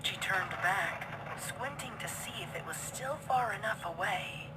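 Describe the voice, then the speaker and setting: A woman reading dark fiction as a dramatic narrator, her phrases often falling hushed. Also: mid-range voice, animated, a little slow, very noisy. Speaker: story narrator. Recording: very loud storm-like ambience behind.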